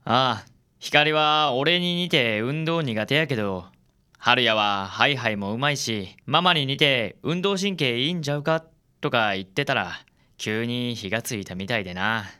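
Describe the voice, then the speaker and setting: Man as easygoing father, Kansai accent, bright, crisp clear voice, understanding, radio drama. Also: mid-range voice, moderate pace, natural voice. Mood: gentle, frank. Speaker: amateur radio drama actor.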